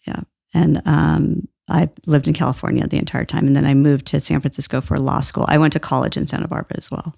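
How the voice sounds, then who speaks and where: Gravelly-voiced middle-aged woman guest. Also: deep voice, steady tone, quick pace, no room sound, clean studio sound. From Brazil. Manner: thoughtful, warm, laughs easily. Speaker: guest, employment lawyer. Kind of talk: casual interview talk.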